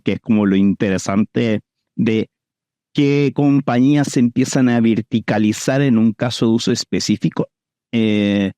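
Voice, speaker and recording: A man's voice: fairly low pitch, warm tone, dry recording. A man with a Chilean accent giving conversational answers as an investor guest in an interview.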